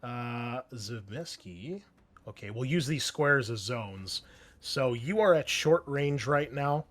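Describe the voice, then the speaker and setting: A man's voice: mid-range voice, expressive, takes it slowly, fairly bright tone. A man narrating a tabletop roleplaying game as game master, in a casual, conversational way. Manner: casual, conversational, mild swearing.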